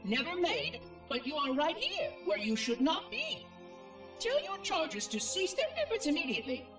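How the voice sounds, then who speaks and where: An officious machine caretaker in a sci-fi game. It speaks high, with wildly swinging intonation and prim formality. The sound is echoey and set back behind loud music. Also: male voice, huge pitch swings, normal speed, distant mic, heavily acted. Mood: imperious. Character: fussy, officious floating robot caretaker, imperious. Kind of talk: game cutscene acting.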